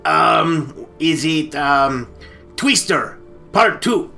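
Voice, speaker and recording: A man's voice: on the high side, expressive, very slow, vocal fry, bright, forceful tone, faint music behind. A man co-hosting a comic movie chat, hesitant and stammering, often repeating his words. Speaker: comedy storytelling co-host. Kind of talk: casual comic movie discussion.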